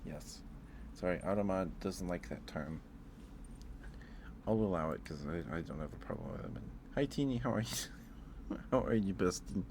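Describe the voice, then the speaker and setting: A man's voice: low-pitched, expressive, normal speed, long pauses, darkish tone, slight background noise. A man chatting casually with livestream viewers as host, musing aloud in an informal, hesitant way.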